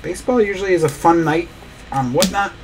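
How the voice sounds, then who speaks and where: A man's voice: high voice, brisk, background noise. A man hosting a live trading card break, opening packs and rattling off player names as he goes. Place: small indoor studio desk.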